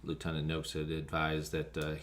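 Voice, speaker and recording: An American man, a fire officer being interviewed, recounting events haltingly with frequent ums and pauses. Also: extremely low pitch, completely flat delivery, slight background noise. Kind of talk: spoken account of an emergency call, interview.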